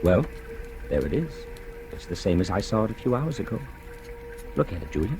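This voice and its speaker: An actor playing a calm, paternal doctor who reassures a patient, in deliberate 1940s radio drama style. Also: male voice, lowish voice. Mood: reassuring, firm. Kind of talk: 1940s radio suspense acting. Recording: old 1940s radio broadcast with music.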